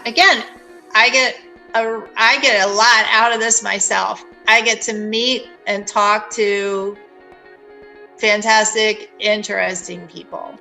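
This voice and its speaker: Bright-voiced woman volunteer tutor. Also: mid-range voice, steady tone, slow, gravelly, soft background music, rough recording.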